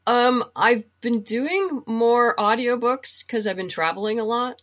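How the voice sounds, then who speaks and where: A woman talking casually as an interview guest, chatty and a little halting as she gathers her thoughts. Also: on the high side, a bit bright. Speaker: guest, comic book writer and novelist. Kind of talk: casual interview chat, giving reading recommendations.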